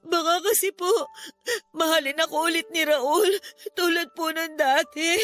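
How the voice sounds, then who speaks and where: A lovesick young expectant mother in a radio drama. Her voice is very high and bright, held in a narrow, pleading range and thick with tears. She is meek and respectful, yet will not let go. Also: fairly even intonation, a little slow, soft background music. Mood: hopeful, fragile. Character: lovesick, pleading young pregnant woman, submissive and stubborn.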